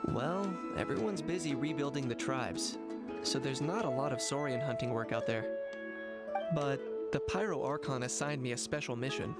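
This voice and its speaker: Actor as a relaxed, plain-spoken hunter, guitar-laced game music behind. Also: male voice, medium pitch, moderate pace, lightly acted. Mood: matter-of-fact. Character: practical young man hunter, detective-minded.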